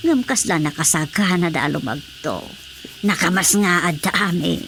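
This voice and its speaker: A woman's voice: on the low side, normal speed, fairly bright tone, a little hiss or hum. Complaining frog queen, faint crickets. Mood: insistent. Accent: Ilocano. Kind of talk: Ilocano radio drama comedy acting.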